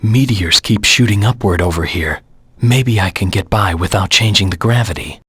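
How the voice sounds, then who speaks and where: Game role: vengeful creature anti-hero, very husky and gravelly, terse and grim, close dry sound. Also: male voice, on the low side, normal speed, a bit bright, no echo. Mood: guarded, focused. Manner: grim, terse, self-serious. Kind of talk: video game character voice lines.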